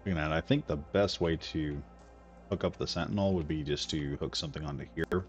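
A man's voice: extremely low pitch, a little fast. A man streaming a building game, rambling casually and talking himself through each step as he builds. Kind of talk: casual gameplay commentary. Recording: slightly dull audio with faint music.

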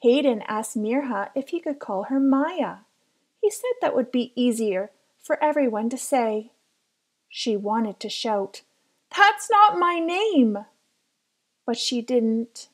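Woman, high voice, unhurried pace, expressive children's picture book narration, careful storyteller. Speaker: storybook reader.

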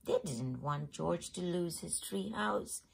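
A woman reads a children's storybook aloud as its host. Her voice is strikingly breathy and rough, with frequent creak. She tells it slowly and expressively. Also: on the low side, expressive. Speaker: host reading picture books aloud. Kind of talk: scripted storybook read-aloud.

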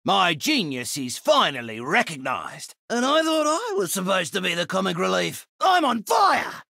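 A manic Australian bomber for a video game. The voice is sharp and high, swinging wildly in pitch with a mischievous, exaggerated edge. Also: male voice, wildly swooping pitch, moderate pace, vocal fry, no echo, clean studio sound, exaggerated voice. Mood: excited shouting. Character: manic, mischievous male Australian bomber who loves explosions. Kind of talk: animated video game voice lines.

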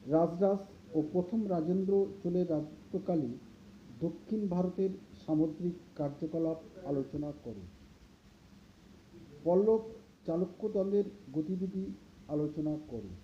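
A teacher reading out and explaining exam questions in short, deliberate phrases with pauses. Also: mid-range voice. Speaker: teacher going through an exam paper. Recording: muffled lo-fi recording with clear background noise.

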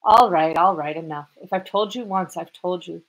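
A hot-tempered police lieutenant, as a woman reads him, barking out his scolding at full volume. The voice is louder and more forceful than the narration around it. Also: fairly low pitch, normal speed, close to an everyday voice, rough recording. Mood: angry scolding. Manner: shouting, scolding.

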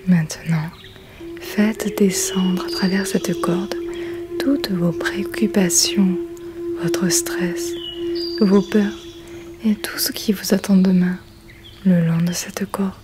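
A woman guides a sleep hypnosis in a whispered, breathy, soothing ASMR voice. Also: medium pitch, big pitch swings, takes it slowly, pauses often, loud music behind the voice.

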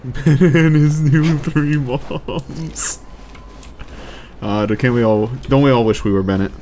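A man's voice: mid-range voice, slow, a little mellow, slight background noise. A man doing casual let's play commentary, muttering to himself and swearing freely over game play. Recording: game music behind the voice. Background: video game music and sounds.

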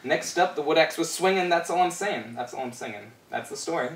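A man's voice: fairly high pitch, lively intonation, fairly quick. A man in his twenties performing a rhyming spoken-word fairy tale with a playful, rhythmic delivery. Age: 20s. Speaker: storyteller performer. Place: small bare indoor room. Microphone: far camera microphone. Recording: roomy, distant camera mic with background noise.

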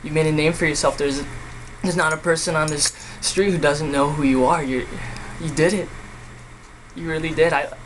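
A man's voice: a little high, steady tone, a bit bright, natural voice. Man acting a hurt younger brother, noisy recording. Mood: wistful, tender. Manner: halting, hesitant, emotionally raw. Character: hurt younger brother pleading with self-centered older brother. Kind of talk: emotional dramatic monologue.

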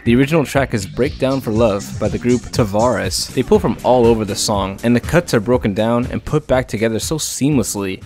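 A man's voice: medium pitch, darkish tone. A man giving a casual, playful and enthusiastic scripted voice-over about music. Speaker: music video essayist.

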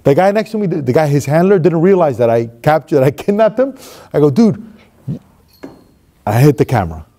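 A man in his thirties from Miami tells a motivational story, swinging between hushed and loud as he acts it out. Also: fairly high pitch, very animated, fairly quick, long pauses. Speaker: motivational speaker. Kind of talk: storytelling monologue. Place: stage with red curtain, seated.

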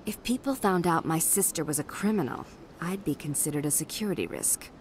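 A calculating female official in a sci-fi game. Her voice is very low for a woman, and she is formal, measured and smoothly polite, with faint ambience behind her. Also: animated, a little mellow, slight background noise. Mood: coolly polite. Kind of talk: video game dialogue.

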